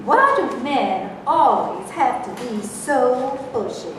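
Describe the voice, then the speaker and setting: Staged reading role: indignant mom played by a woman, unhurried, animated pitch, far from mic in reverberant hall. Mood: scornful. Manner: scornful, indignant. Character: indignant, bickering mother, scornful of pushy men. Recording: echoey hall, distant mic, faint noise.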